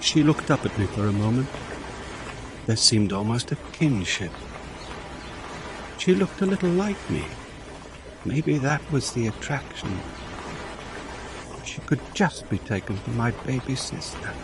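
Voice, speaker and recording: A man's voice: medium pitch, sing-song, a little slow, long pauses, noisy background. An actor playing a wry, world-weary police inspector who narrates his own story in a radio drama. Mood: reflective. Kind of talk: radio drama acting with first-person narration.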